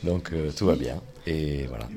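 A man with an Italian accent, an actor interviewed on radio, speaking thoughtfully with pauses. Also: rumbling low, dark tone. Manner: thoughtful, pausing mid-sentence. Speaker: actor and playwright, guest.

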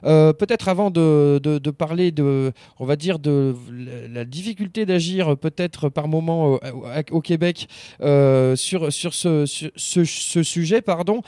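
A man hosting a radio interview in as his language, phrasing his questions in a hesitant, halting way. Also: on the high side, dark tone, dry recording, studio quality. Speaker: radio host interviewing a phone guest.

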